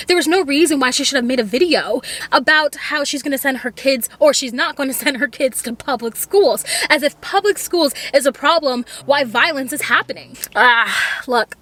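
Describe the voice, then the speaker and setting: A high-pitched woman talks from a parked car in a chatty, exasperated way, laying out her opinions as casual vlog commentary. Her voice sits well up in her range throughout. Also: a bit bright. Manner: exasperated, chatty, laughs now and then. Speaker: commentary vlogger. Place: inside a car.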